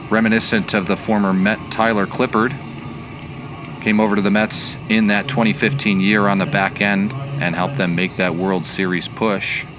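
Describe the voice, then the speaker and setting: Low-voiced man calling baseball play-by-play. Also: noisy background. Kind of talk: sports play-by-play commentary.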